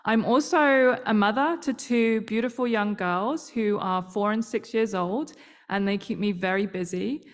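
A woman gives a friendly, measured talk on a video call, dropping the odd um. She has a middle-pitched voice. Her voice carries a long, roomy reverb. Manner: friendly, measured presentation with frequent ums. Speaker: scientific director. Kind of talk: presenting slides on a video call.

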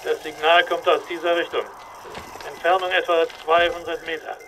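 A man's voice: a little high, flat delivery, normal speed, fairly bright tone, slight background noise, close to an everyday voice. An actor playing a sober, technically minded male astronaut in an audio drama, matter-of-fact in manner.

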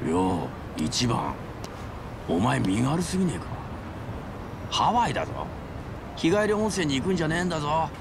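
An actor playing a brash, teasing male buddy in a video game, rough and animated, over rumbling street noise. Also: normal speed, pauses often. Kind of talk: dramatic video game voice acting.

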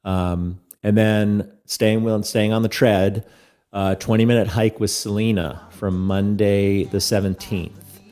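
A man's voice: lowish voice, fairly even intonation, slow, darkish tone. A man in his thirties hosting a casual chat, laid-back, talking close into a mic at home. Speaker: host. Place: small room at home. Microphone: studio mic close up.

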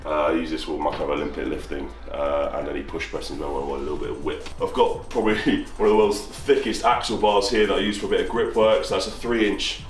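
A man with a very deep, low voice chats in a loose, matter-of-fact way as he shows off his gear. He is recorded close on a handheld camera. The small wooden room rings with echo, and faint music plays under him. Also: steady tone, fast talker, few pauses. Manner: chatty, matter-of-fact, walking around pointing at kit. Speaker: strongman showing his home gym. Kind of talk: casual gym tour. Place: small wooden home gym barn.

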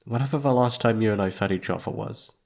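A man's voice: on the low side, fast talker, creaky. A man playing a shy, flustered young incubus roommate in an ASMR roleplay, hesitant and awkward, often trailing off. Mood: weary, pensive. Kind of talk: ASMR roleplay audio drama.

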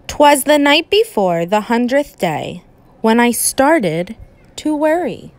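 Lady teacher doing a kids book read aloud, super expressive up and down voice, slow and emphatic. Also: a little high. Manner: expressive, emphatic, dramatic pauses. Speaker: teacher reading aloud to children. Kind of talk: animated children's storybook read-aloud.

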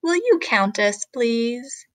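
A woman's voice: fairly high pitch, expressive, takes it slowly, fairly bright tone. Woman doing a crusty, boastful crocodile. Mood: smug. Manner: boastful, emphatic rhyming. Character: crusty, boastful male crocodile, big and menacing. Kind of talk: storybook character reading.

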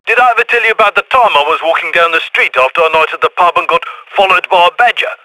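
An actor playing a breezy, chatty criminal ally over radio comms in a heist video game, talking casually. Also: male voice, sits high, fast talker, sharp tone, dry recording, poor audio. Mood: breezy, curious. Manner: casual, chatty, tags questions with yeah.

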